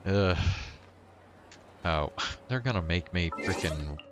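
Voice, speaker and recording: A man's voice: low-pitched, expressive, takes it slowly. A man chatting casually on a game livestream, rambling and hesitant as he thinks aloud, with game music behind him. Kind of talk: casual chat while playing a game.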